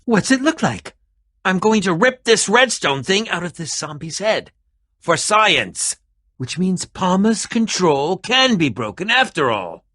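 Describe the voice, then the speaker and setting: A man playing an eccentric, middle-aged adventurer in an animated video game, theatrical and fussy, with a dry, pompous turn of phrase. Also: high voice, unhurried, exaggerated voice. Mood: intrigued, wry.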